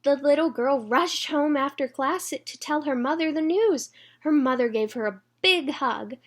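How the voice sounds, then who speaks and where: High-voiced woman reading a storybook. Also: measured pace. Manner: engages young listeners with questions. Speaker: children's read-aloud reader. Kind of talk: expressive storybook read-aloud.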